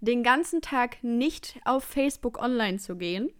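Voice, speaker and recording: Young woman, podcast co-host, casual, lively, laughs a lot, smooth clear voice. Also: fairly high pitch. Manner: lively, laughs often, drawn-out emphasis. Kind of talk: casual chat.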